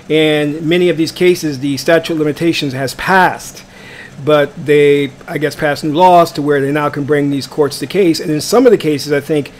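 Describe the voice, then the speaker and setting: A man hosting his own live online broadcast, sounding stuffy from a cold and talking in a halting way with many fillers and restarts. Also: on the high side, slight background noise. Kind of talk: casual live commentary. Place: indoors, warm room. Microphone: adjustable microphone.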